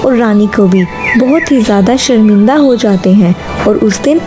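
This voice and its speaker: A woman's voice: mid-range voice, normal speed, over music. A woman reading a scripted children's story as its narrator.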